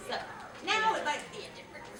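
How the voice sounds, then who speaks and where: A woman's voice: sits high, sing-song, fast, a crowd in the background. A woman voice actress telling candid stories on a convention panel. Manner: candid storyteller, laughs through her anecdotes. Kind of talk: casual panel talk. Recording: echoey hall, distant mic, loud noise.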